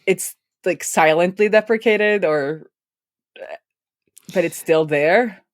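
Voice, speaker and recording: A woman's voice: lowish voice, slow, no room sound, studio quality. Chatty woman with a head cold. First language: Norwegian. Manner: rambling, self-interrupting, laughs often. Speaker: co-host. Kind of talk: casual chat.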